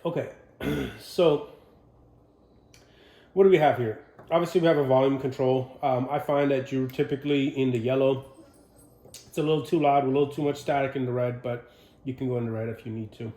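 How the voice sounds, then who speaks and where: A man giving an informal, unscripted equipment demonstration, explaining in a halting way and putting questions to the viewer. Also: medium pitch, fairly even intonation, dark tone. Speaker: presenter of a military radio equipment walkthrough. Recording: roomy, echoing sound.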